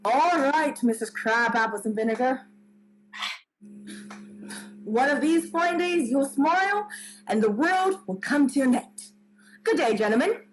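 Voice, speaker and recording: Woman playing a high-voiced chatty old village postman. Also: animated, unhurried, long pauses, slight background noise, low-quality recording. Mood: teasing. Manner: talkative, jaunty. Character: chatty old village postman and bell-ringer, cheeky and self-satisfied. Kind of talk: radio play acting.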